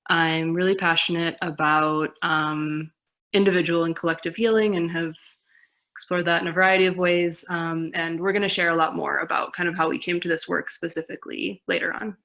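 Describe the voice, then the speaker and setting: A young woman from Minnesota co-presenting a webinar, calm and reflective with some hesitations. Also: lowish voice, brisk, vocal fry. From Minnesota, United States.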